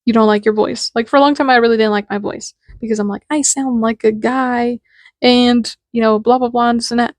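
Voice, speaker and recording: A woman's voice: fairly high pitch, steady tone, brisk, a little mellow, no room sound. A woman in her twenties with a nasal voice, talking in a casual, rambling and candid monologue as the host. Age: 20s. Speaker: podcast host.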